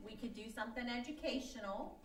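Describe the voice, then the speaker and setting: A woman's voice: a little high, fast, lightly acted. A woman playing a chatty, bossy, well-meaning older neighbor in a radio comedy, with a fussy, insistent manner. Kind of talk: old-time radio comedy acting before an audience. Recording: roomy live stage, distant microphone.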